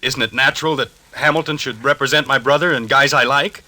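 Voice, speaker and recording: A man's voice: medium pitch, fairly even intonation, brisk, pushed and bright, no room sound, poor audio. An actor playing an irritable, obsessive husband haunted by his dreams, testy and insistent, in the style of 1940s radio drama. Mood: agitated, indignant. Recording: vintage 1940s radio recording.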